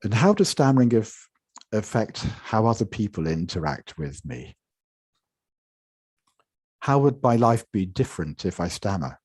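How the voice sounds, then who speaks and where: A man giving a measured conference lecture from slides, with frequent repetitions and restarts, speaking over an online video call. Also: fairly low pitch, big pitch swings, a little mellow, husky, no echo, clean studio sound. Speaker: conference presenter.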